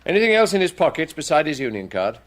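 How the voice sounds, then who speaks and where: An actor with a British accent playing a brisk, dry, world-weary chief inspector who questions with authority in a radio drama. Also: male voice, a little high, big pitch swings.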